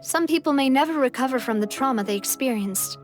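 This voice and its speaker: A woman's voice: high-pitched, lively intonation, a little fast, over music. A woman voice acting a confident, considerate young society president in a video game, with a composed, reassuring manner. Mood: gently serious.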